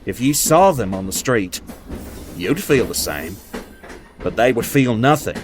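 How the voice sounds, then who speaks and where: Expressive, curious train crewman played by a man, noisy background. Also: medium pitch, a little slow. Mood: earnest, wistful. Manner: curious, reflective, probing. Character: thoughtful, questioning male steam train crewman. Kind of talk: amateur audio drama acting.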